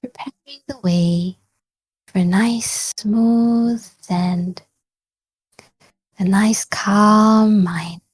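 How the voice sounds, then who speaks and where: A woman leading a guided meditation in a gentle, calm and encouraging manner, leaving pauses between phrases. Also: medium pitch, breathy edge, dry recording. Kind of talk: guided body scan meditation.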